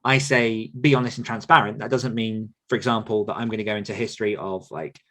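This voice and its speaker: A man's voice: lowish voice, fairly even intonation, fast talker, fairly bright tone. A man in his thirties, a podcast host, talking candidly and casually about his work, with frequent laughs. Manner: candid, chatty, laughs often. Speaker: podcast host and author coach.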